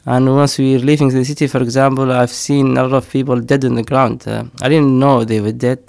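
Man, middle-aged, gravelly, dry close sound, hesitant with fillers. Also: mid-range voice, level tone, a little fast, a little mellow, dry recording. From Somaliland. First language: Somali. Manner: hesitant, many fillers, frequent laughs. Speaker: poet and storyteller. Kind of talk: casual interview talk.

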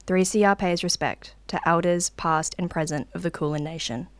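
A woman's voice: fairly low pitch, steady tone, moderate pace. A woman reading a scripted radio station announcement of acknowledgement.

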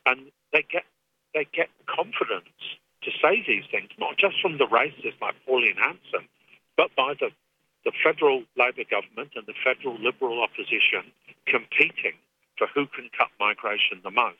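A man with an English accent, speaking as an activist guest in a radio phone interview, earnest and measured with frequent restarts. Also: medium pitch, expressive, slightly rough. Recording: tinny phone line.

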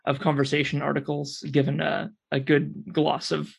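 Gravelly, slightly high-voiced man in discussion. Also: monotone, moderate pace. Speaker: panel guest. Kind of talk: conversational commentary.

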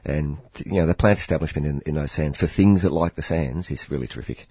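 A very deep, husky Australian man, hoarse from pollen, rambling happily about his garden. He restarts sentences often and laughs between thoughts. The dry, muffled studio sound keeps him close. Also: fast, no room sound. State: croaky from hay fever. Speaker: horticulturalist radio guest. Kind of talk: casual radio chat.

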